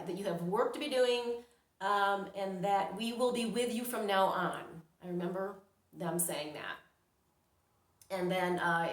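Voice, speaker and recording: A middle-aged woman from New York tells a personal story casually and dramatically, acting out her reactions. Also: fairly low pitch. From New York, United States. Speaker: storyteller, vlogger. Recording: roomy, echoing sound.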